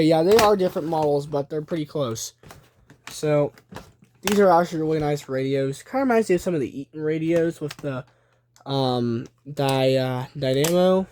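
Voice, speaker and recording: A man with a slightly high voice talks casually through a show-and-tell, hesitating and thinking out loud as he goes. It is a handheld home recording with some noise and small handling clicks. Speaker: video creator showing a collection.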